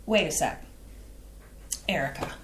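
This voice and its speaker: A woman's voice: low-pitched, a little slow, fairly bright tone, echoey room, a little hiss or hum. A woman playing a flustered, eager housewife. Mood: tender. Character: excitable Midwestern housewife and mother. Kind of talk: staged play reading. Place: theatre stage.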